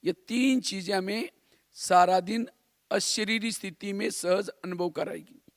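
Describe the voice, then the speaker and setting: A man's voice: high voice, a little slow, no room sound, studio quality. A man from India giving a spiritual class in a didactic way, repeating phrases and posing rhetorical questions. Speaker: spiritual teacher.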